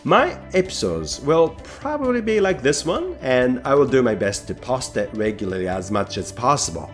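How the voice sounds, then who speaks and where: A man's voice: medium pitch, very animated, normal speed, faint music behind. A man from Japan with a Japanese accent who hosts a solo podcast as a rakugo performer, talking in an earnest, reflective way with a light self-deprecating humour.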